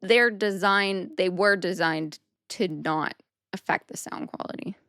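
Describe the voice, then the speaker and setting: A woman's voice: mid-range voice, a little slow, fairly bright tone, clean tone. A woman co-hosting a podcast in a casual, easygoing way, chatting and agreeing with her co-host. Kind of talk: casual chat.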